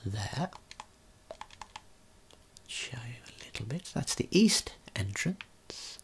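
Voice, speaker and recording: Man, breathy soft whisper, creaky edge, slow pace, gentle ASMR tour guide. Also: fairly low pitch. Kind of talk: ASMR video game tour narration.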